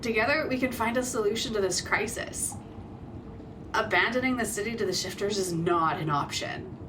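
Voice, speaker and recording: A woman's voice: mid-range voice, normal speed, far from the mic, noisy background, lightly acted. A woman playing a blunt, caring ally in a tabletop roleplay, speaking expressively.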